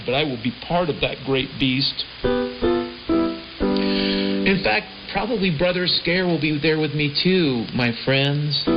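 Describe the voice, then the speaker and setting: A man delivering a rambling, improvised comic monologue as a radio host, with a theatrical, mock-prophetic storytelling manner. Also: on the high side. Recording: dull lo-fi shortwave radio recording. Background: prominent piano and keyboard music.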